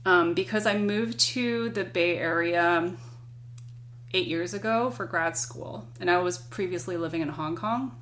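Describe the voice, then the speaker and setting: A non-binary person in their thirties, a podcast guest, speaks earnestly and reflectively, telling a personal story in a measured way. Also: mid-range voice, a bit bright. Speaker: podcast guest, climbing guide. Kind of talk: conversational interview.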